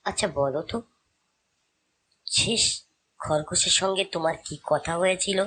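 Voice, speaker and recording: A man's voice: high voice, normal speed, sharp tone, a little hiss or hum. A man voicing a clever, suspicious fox scholar in a cartoon, urgent and commanding. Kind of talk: cartoon voice acting.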